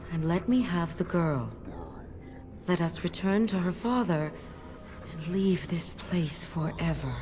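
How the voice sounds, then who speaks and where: An actress voicing a sly, possessive demon in cat form, speaking formally and haughtily in a fantasy video game. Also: female voice, low-pitched, background noise. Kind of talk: dramatic video game acting.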